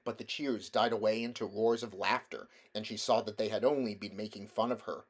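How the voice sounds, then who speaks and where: A man's voice: on the low side, steady tone, brisk. A man reading a children's fantasy novel aloud as scripted storybook narration. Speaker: amateur audiobook reader.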